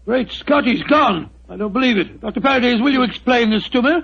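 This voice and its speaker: An actor playing a bluff, skeptical Victorian doctor in a 1940s radio drama, flustered and stammering, on a lo-fi old broadcast recording. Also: male voice, very high-pitched, lively intonation, brisk, creaky, noisy background, exaggerated voice. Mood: blustery.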